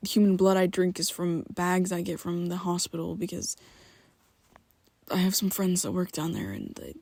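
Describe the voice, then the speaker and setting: A man voicing a young vampire who is his listener's best friend, speaking in a soft, breathy half-whisper with hesitant restarts, in an ASMR roleplay. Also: high voice, moderate pace, dry recording. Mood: confessing. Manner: hesitant, stumbling restarts, pleading.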